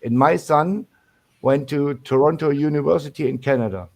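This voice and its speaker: An older German man calling in to a sports show, speaking English with a German accent in halting, restarting phrases. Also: a little high, gravelly, a little mellow. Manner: halting, many restarts and fillers. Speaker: caller. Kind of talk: casual call-in chat.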